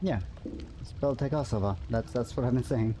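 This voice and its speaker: A man's voice: mid-range voice, brisk, mellow, slight background noise. A man streaming a game live, chatting casually and musing aloud, often correcting himself. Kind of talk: casual live-stream chat while playing.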